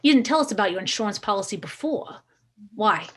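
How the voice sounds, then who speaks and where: A woman's voice: medium pitch, expressive, fairly quick, a little hiss or hum, close to an everyday voice. An actress playing a skeptical female police detective at a table read, pointed and matter-of-fact as she questions. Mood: probing. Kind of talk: screenplay table read acting.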